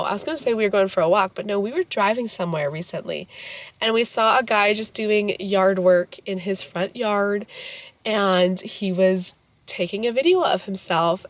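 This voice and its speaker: A woman in her thirties, hoarse from a cold, chatting casually and rambling as she vlogs her day into a handheld camera. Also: medium pitch, no room sound. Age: 30s. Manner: chatty, rambling, self-correcting. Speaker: vlogger and mother. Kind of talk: casual vlog chat.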